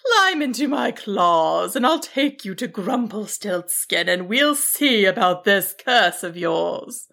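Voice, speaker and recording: A woman voicing a giant, kind-hearted dragon who speaks loudly and reassuringly in a storybook tale. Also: medium pitch, sing-song, gravelly, heavily acted. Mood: fond, reassuring. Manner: loud, kindly, deliberate.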